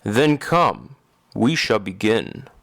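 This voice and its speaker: A man playing a brooding, commanding phantom in an audio drama, speaking in a formal, measured way. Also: fairly low pitch, very animated, vocal fry, a bit bright, no echo, studio quality, exaggerated voice. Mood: stern, softening. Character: brooding, commanding male phantom of an opera house.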